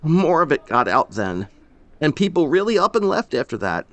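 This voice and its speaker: A man voicing a grieving older rural man who talks in halting, choked bursts and breaks off mid-sentence. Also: on the high side, sing-song, fairly bright tone, no room sound, pitched above the performer's own voice. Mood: weary.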